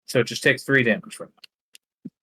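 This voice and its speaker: A man running a tabletop game as game master, who gives unhurried rulings with frequent ums. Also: medium pitch, bright, forceful tone, slightly rough.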